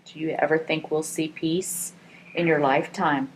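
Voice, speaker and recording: A woman conducting an oral history interview, asking gentle, encouraging questions. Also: deep voice, steady tone, moderate pace, slight background noise, rough recording.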